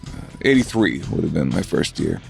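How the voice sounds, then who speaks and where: A man's voice: rumbling low, very animated, a little fast, vocal fry, soft background music, exaggerated voice. A man playing an earnest, friendly TV journalist in his twenties in conversational audio drama. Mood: candid, curious. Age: 20s. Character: 27-year-old male TV journalist, earnest and friendly.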